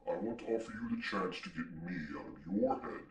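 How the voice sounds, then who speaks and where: A man playing a sly, condescending demon in a comedy sketch, with a very deep bass voice and deliberate, mock-courteous speech. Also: close to an everyday voice. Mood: tempting. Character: smooth, sly demon in a suit, scheming and condescending. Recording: echoey room, distant from the mic.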